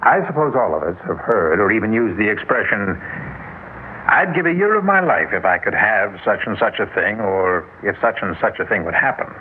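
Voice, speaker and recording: Low-voiced man introducing an eerie tale. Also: sing-song, normal speed, breathy edge. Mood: grave. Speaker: narrator and story's host. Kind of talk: 1960s radio drama introduction. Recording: lo-fi old radio recording, hiss.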